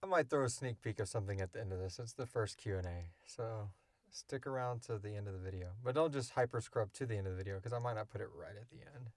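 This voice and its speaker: A man's voice: on the low side, fast talker, dry recording. A man in his thirties hosting a casual podcast Q&A, rambling along in an enthusiastic, jokey way. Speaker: podcast creator and host. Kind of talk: casual Q&A chat.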